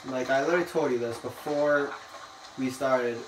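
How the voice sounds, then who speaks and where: A young man rambling through casual gaming chat, bossy and playful in a teasing way. His voice comes from a distance in an echoey room, with clear background noise under it. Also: medium pitch, normal speed. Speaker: gaming video host. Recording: echoey room, mic far, noisy.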